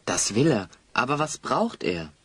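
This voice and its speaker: An encouraging young hero with a very lively, mid-pitched voice. He reasons things out and spurs his companions on in a children's fantasy audio drama, recorded close and dry. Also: measured pace, slightly rough, no echo, exaggerated voice. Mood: probing, eager. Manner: animated, reasoning, urging. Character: keen, encouraging hero who leads his friends. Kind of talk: German children's audio play acting.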